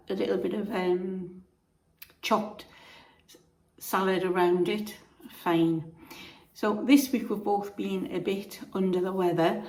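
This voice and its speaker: A woman with a croaky voice from being unwell, chatting to camera in a homely, rambling way with frequent restarts. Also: lowish voice, darkish tone. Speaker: vlogger. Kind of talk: casual chat to camera. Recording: echoey room.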